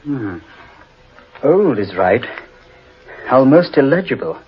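Stuffy no-nonsense gent, mid voice, old muffled radio play. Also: male voice, mid-range voice, big pitch swings, slow. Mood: reading solemnly. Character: dismissive, hearty, no-nonsense gentleman skeptic. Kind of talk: 1940s radio drama acting.

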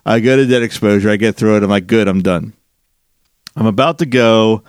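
Male podcast co-host, lower voice, slightly nasal, rambling animated storyteller, dry close mic. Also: a little fast, no echo. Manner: rambling, animated storyteller with self-interrupting restarts. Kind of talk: casual storytelling chat.

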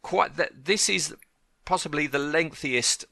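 Guy co-hosting a fan podcast, bright forceful voice, dry close mic, chatty, keeps checking w his cohost. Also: on the high side, a little slow, creaky, no room sound. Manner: chatty, often asks his co-host for agreement. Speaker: podcast co-host. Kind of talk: casual podcast chat, reading a listener letter aloud.

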